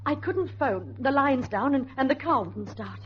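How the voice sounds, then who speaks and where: A woman's voice: high voice, lively intonation, moderate pace. A woman playing a married woman caught up in a dangerous ordeal in a radio drama, narrating and acting it out. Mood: flustered. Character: urgent, weary married woman narrating her flood ordeal. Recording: dull, noisy old radio recording.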